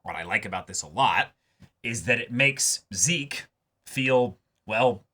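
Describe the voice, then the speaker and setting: A man co-hosting a casual anime discussion, talking emphatically and haltingly, often repeating words as he builds his point. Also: medium pitch, animated, sharp tone. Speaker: reaction show co-host.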